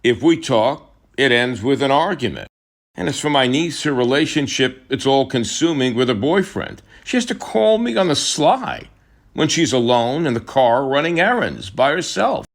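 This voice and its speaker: Man acting a frustrated middle-aged writer, expressive delivery. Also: mid-range voice, normal speed. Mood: earnest, searching.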